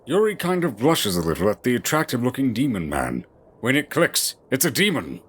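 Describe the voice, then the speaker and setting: A man's voice: mid-range voice, wildly swooping pitch, a little fast, fairly bright tone, breathy edge. A man reading scripted fantasy story narration as the narrator of an audio drama.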